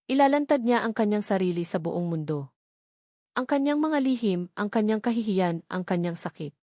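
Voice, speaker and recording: A woman reading a scripted dramatic story in a measured, earnest way. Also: medium pitch, darkish tone, dry recording, studio quality. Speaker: story narrator.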